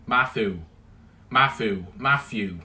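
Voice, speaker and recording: A young Welsh man hosting a casual livestream, greeting viewers by name and chatting loosely, loud when he greets people and low and mumbled when he muses. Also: slow pace, slight background noise. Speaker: livestream host. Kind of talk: casual livestream chat reading comments.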